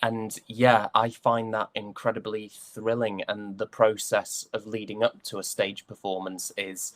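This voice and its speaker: A young man with a Northern English accent chatting casually as an interview guest, often pausing to find his words. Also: fairly low pitch, little pitch movement. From West Yorkshire, England.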